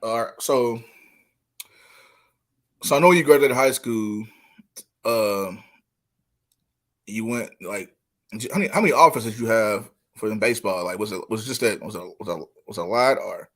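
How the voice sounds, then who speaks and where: A man hosting a casual podcast interview, speaking in informal slang with halting restarts. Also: fairly low pitch, a bit bright. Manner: informal slang, halting restarts, "you know what I'm saying". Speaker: podcast host.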